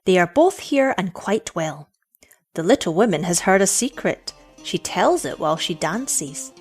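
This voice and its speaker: A woman's voice: lowish voice, expressive, unhurried, breathy edge, faint music behind. A woman voicing a scornful village girl, prim and offhand, in a storybook. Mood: cool politeness. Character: scornful village girl, cool, teasing, unconcerned.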